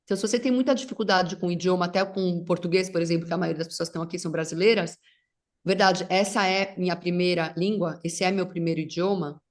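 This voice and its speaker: A woman's voice: fairly low pitch, fairly even intonation, quick pace. A middle-aged woman whose first language is English, talking casually as a facilitator guest, rambling with restarts and English words mixed in. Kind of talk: casual conversation.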